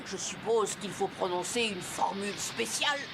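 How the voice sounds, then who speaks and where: A woman voicing a bold young adventurer in a French anime dub, brisk and eager. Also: mid-range voice, vocal fry, background noise, lightly acted. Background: anime soundtrack music.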